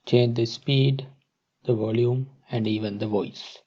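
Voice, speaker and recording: A man narrating a step-by-step software tutorial in a halting, instructional way, on a dull, muffled microphone. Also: medium pitch, steady tone.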